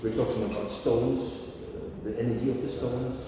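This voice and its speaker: A man's voice: medium pitch, steady tone, brisk. A man from the Netherlands, a book collector chatting casually and rambling as he shows his books. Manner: rambling, restarting, showing books. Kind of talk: casual conversation. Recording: echoey room, distant mic, noisy.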